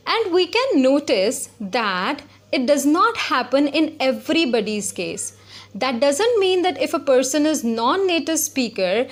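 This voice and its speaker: A woman giving a spoken lesson, explaining step by step and repeating her points. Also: high-pitched. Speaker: English teacher.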